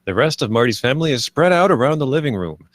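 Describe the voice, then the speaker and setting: A man's voice: medium pitch, expressive, quick pace, no echo. A man reading scripted stage directions as the narrator of a live screenplay table read.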